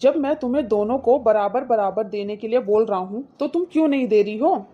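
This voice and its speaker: A woman's voice: on the high side, brisk, dull audio, natural voice. A woman voicing an indignant, protective brother in a cartoon moral story, scolding his wife with insistent, reproachful questions.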